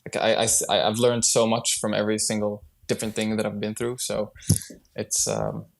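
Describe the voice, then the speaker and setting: A man from Sweden, raised partly in Brazil, speaks in a low voice with noticeable vocal fry. His pitch barely moves as he chats as an interview guest, often backing up and starting a phrase again. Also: little pitch movement, normal speed. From born in Sweden, partly raised in Brazil. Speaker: podcast guest, startup founder. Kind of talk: casual conversational interview.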